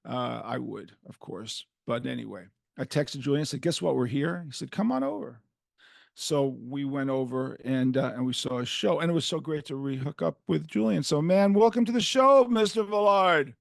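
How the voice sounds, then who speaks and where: An older New York man hosts a podcast in a casual, rambling way, slipping into animated voices when he quotes people. His voice is gravelly and sits fairly high for a man. The sound is dry and close to the mic. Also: moderate pace, no room sound. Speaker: podcast host.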